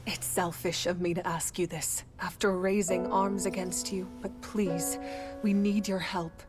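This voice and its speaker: A woman's voice: fairly low pitch, flat delivery, a little slow, music in the background, lightly acted. Woman acting proud, solemn desert warrior. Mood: solemn, pleading. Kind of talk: video game cutscene acting.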